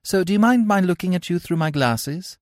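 A man's voice: sits high, lively intonation, fairly quick, darkish tone, dry recording, studio quality, pitched above the performer's own voice. A man voicing Gwendolen, a haughty young society woman who talks in a stiff, formal, condescending way. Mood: coolly cutting. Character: haughty young society lady, polite but cutting.

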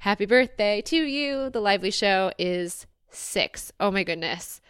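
A woman's voice: mid-range voice, lively intonation, a little slow, a bit bright, clean tone, no echo, clean studio sound. A woman hosting her own podcast, talking in a chatty, upbeat way and laughing often. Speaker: podcast host. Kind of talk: casual solo podcast monologue.

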